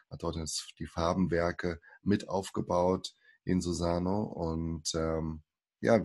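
A man's voice: deep voice, vocal fry, a little mellow, breathy edge. A man in his forties, born in Brazil, talking as a podcast guest in a hesitant way with many fillers and restarts. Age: 40s. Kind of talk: casual interview talk.

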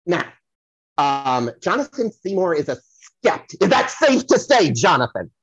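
A man's voice: high voice, big pitch swings, measured pace, slightly rough, no echo, lo-fi sound, exaggerated voice. A man playing a flamboyant, touchy psychic who promotes himself and rambles effusively, repeating himself, as a comedy podcast guest. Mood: salesy, showy. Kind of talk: comedic audio play banter.

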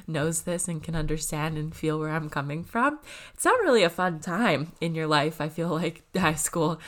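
Low-voiced congested young woman. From Canada. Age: 20s. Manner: rambling, lots of "like" and "um", self-correcting. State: congested, stuffy nose. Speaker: vlogger. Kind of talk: casual personal talk to camera.

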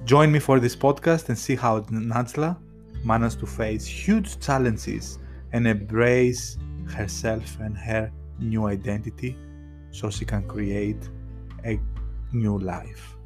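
A man hosts a podcast, speaking in a measured, thoughtful way. Guitar music plays clearly beneath his voice. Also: lowish voice, fairly even intonation. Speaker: podcast host. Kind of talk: scripted intro narration, then conversational interview. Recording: music playing under the voice.

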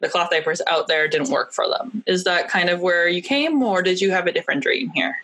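Bright-voiced Canadian woman hosting. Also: on the low side, brisk. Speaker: podcast host. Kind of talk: casual interview chat.

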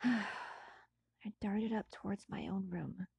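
A woman reading a classic novel as an audiobook in a breathy, husky, often whispery voice, with hushed, theatrical and deliberate phrasing. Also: mid-range voice, no room sound. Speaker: audiobook narrator. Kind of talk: dramatic scripted narration of a classic novel.

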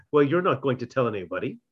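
An actor playing a pompous, excitable cad in a comedy radio play, with declamatory delivery. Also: male voice, medium pitch, husky, dull audio. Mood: startled, defensive.

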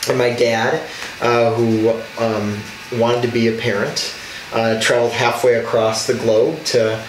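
A man's voice: medium pitch, fairly even intonation, unhurried, echoey room, distant mic, a little hiss or hum, poor audio. A young man, an alderman from Wisconsin, telling his life story earnestly in an interview. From Racine, Wisconsin. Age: young adult. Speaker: alderman guest.

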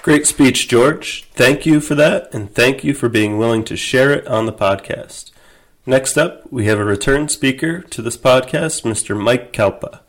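A man's voice: mid-range voice, a little slow, some chatter behind. A man presenting as a podcast host, reading a scripted introduction between speeches.

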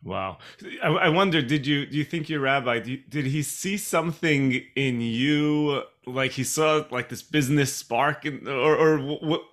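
A man from Brooklyn, a podcast host who asks his guest enthusiastic, halting questions. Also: mid-range voice, a bit bright. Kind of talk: interviewing a guest.

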